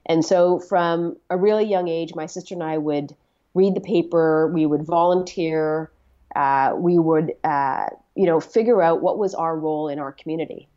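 A woman's voice: sits low, moderate pace, dark tone, muffled recording. A middle-aged woman from Canada whose first language was Swahili. She talks earnestly as an interview guest, with many fillers and repeated words. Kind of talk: reflective conversational interview.

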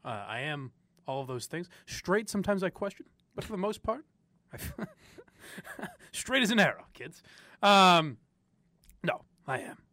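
A man's voice: high voice, sing-song, unhurried, pauses often, bright, forceful tone, no echo, clean studio sound. A young man from the area, hosting opinionated talk radio and ranting with frequent laughs. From Boston area. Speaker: sports radio host.

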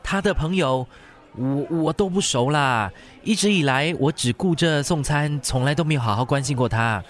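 Radio drama husband, male, played by an actor: high-pitched, agitated, pleading, desperate to find his wife. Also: moderate pace, soft background music, lightly acted. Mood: dejected, self-blaming. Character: frantic husband searching for his missing wife. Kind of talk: Chinese-language radio drama acting.

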